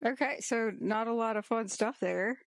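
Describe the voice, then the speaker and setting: A woman's voice: a little high, normal speed, gravelly, dry recording. A woman hosting a casual podcast chat with co-hosts in a playful, teasing manner. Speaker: podcast host. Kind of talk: casual banter among co-hosts.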